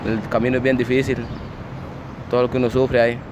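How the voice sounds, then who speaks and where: A man's voice: mid-range voice, little pitch movement, fast. Honduran man, noisy outdoor recording. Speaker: migrant interviewee. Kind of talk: plain spoken testimony.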